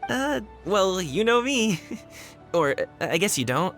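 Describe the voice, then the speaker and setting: A man's voice: sits high, sing-song, unhurried, fairly bright tone, soft background music, exaggerated voice. A man playing a friendly, bashful, enthusiastic young male guard in a game, casual in manner. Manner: casual, awkwardly chuckly, enthusiastic.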